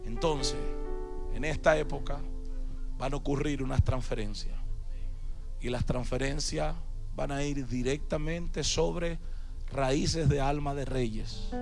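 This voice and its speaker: A man's voice: medium pitch, fairly even intonation, slow pace, vocal fry, long pauses. A man in his thirties preaching emphatically to a congregation through a handheld microphone, often repeating his phrases. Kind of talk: charismatic church sermon. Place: large church auditorium, on stage. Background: music playing behind the voice.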